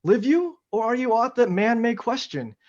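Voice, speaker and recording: A man's voice: extremely high pitch, very animated, normal speed, a little mellow. A man playing a bold noble general who questions and declaims in a Shakespearean radio play. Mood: wary questioning. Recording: dull, lo-fi sound.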